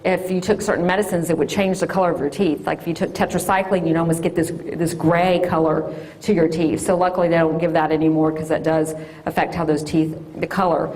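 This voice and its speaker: A woman, an orthodontist giving a loud, lively classroom talk to children. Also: low-pitched, brisk, low-quality recording. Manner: loud and lively, sing-song call-and-response.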